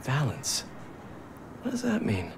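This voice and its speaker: An earnest young American man, a worried hero in a video game. He talks hesitantly and sincerely with animated pitch, over faint noise. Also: slow, vocal fry, full character voice. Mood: gentle, casual. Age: young adult. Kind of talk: naturalistic game cutscene acting.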